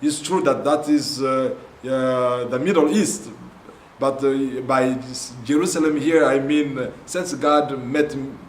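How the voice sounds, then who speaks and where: A man from Congo with a Congolese accent, telling his ministry's story in a halting way with many pauses and fillers. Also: medium pitch, steady tone, echoey room, slight background noise. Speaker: ministry founder. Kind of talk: earnest spoken testimony.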